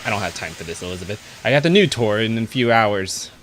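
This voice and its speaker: A man's voice: fairly low pitch, lively intonation, fast talker, fairly bright tone, a little hiss or hum. A man doing a loyal, earnest tank engine. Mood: impatient. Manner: earnest, turns stern and firm. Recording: music clearly behind.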